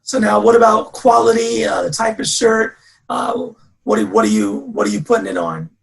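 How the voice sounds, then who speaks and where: A man's voice: sits high, animated, moderate pace, vocal fry. A male host interviewing a guest in an upbeat, encouraging way, often cheering the guest on. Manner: enthusiastic, encouraging affirmations.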